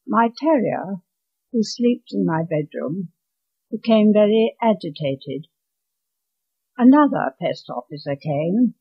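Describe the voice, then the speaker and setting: A woman in her nineties with an English accent reads her own story aloud in measured, deliberate phrases. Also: mid-range voice, animated, husky. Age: 90s. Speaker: author reading own story. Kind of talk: scripted storytelling, read aloud. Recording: dull lo-fi home recording.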